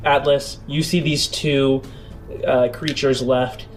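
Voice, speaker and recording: A man improvising halting, descriptive narration as the game master of a tabletop role-playing game. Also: medium pitch, level tone. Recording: music bed under the voice. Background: background music.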